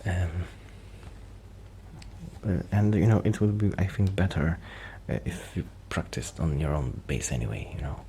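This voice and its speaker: A man with an extremely low, gravelly voice speaks softly, as in an ASMR roleplay. He rambles shyly and hesitantly, like a friend chatting casually. There is clear noise in the recording. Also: expressive, normal speed. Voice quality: soft-spoken, sometimes dropping toward a whisper. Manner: shy, apologetic, hesitant and rambling. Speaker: ASMR roleplay performer playing a musician friend.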